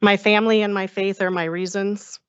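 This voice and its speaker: A woman's voice: medium pitch, level tone, moderate pace, clean tone, dry recording, highs cut off. Composed woman reading her thanks. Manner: composed, sincere, reading prepared words. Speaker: newly appointed special education administrator. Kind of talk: prepared thank-you speech.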